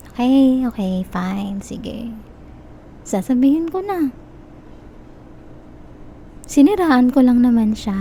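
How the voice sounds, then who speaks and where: Woman acting a soft, mellow possessive Filipino stalker girl. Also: fairly high pitch, a little hiss or hum. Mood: quietly menacing. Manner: soft, coaxing, teasing, quietly menacing. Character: possessive, manipulative young female stalker, obsessively in love. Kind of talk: Tagalog-style ASMR roleplay, intimate close address.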